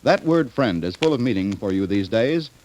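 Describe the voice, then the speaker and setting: A man's voice: fairly low pitch, lively intonation, normal speed, darkish tone. A North American man announcing in 1940s radio style, with a folksy, earnest sales delivery. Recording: faintly noisy 1940s radio recording.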